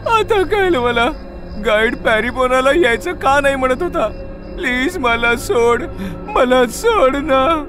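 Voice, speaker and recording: Horror story hero, played by a man: naive, jumpy young traveler, high voice, very animated, music behind. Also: slow, full character voice. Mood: pleading. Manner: exclaiming, talking to himself. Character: excitable, naive young male traveler, easily frightened.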